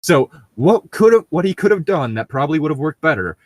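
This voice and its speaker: A man's voice: fairly high pitch, big pitch swings, a little fast, a little mellow, no room sound. A man co-hosting a podcast, chatting casually and reading stories aloud. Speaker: podcast co-host.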